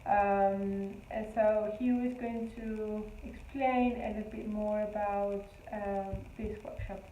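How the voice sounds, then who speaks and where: A woman's voice: medium pitch, steady tone, slow pace, mellow, slight background noise. A woman from Argentina, a Spanish speaker presenting her language company with hesitant fillers. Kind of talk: scripted-feeling presentation. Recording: echoey room, tinny phone-like sound.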